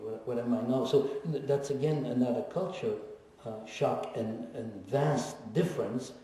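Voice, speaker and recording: Older man, mellow voice, echoey hall. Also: mid-range voice. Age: 70s. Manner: reflective, wry and teasing, with frequent pauses. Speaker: guest speaker, Holocaust survivor. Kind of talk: personal testimony in a lecture Q&A. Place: lecture hall. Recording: echoey hall recorded from far off.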